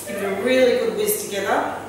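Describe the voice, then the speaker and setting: A middle-aged woman leads a cooking demonstration like a patient teacher, asking questions and praising answers. Her voice comes from across a hard, echoey kitchen with a long reverb. A steady background noise runs under it. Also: medium pitch, brisk. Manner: encouraging, asks the child questions. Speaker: cooking show presenter teaching a child. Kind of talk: friendly classroom-style cooking demonstration. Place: kitchen classroom, indoors. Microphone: far camera mic. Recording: echoey room from far off, noisy.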